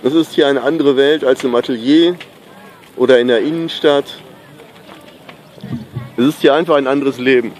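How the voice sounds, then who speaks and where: A man's voice: medium pitch, moderate pace, long pauses, darkish tone. A middle-aged man vlogging outdoors at a sunny marina, chatting casually and enthusiastically to the camera. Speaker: pop artist vlogging. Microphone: handheld camera mic. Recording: faint outdoor noise.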